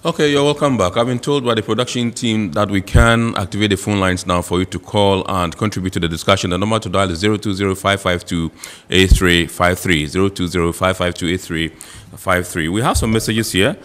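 A man's voice: sits low, fairly quick, a bit bright, long reverb tail. A man hosting a studio panel discussion, reading out viewer messages and prompting guests with short affirmations. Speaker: TV talk show host.

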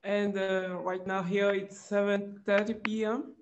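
A man's voice: high-pitched, flat delivery, unhurried, highs cut off. A man with a Cameroonian accent giving brief thanks as a participant on a video call. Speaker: competition participant. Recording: faint noise on video call.

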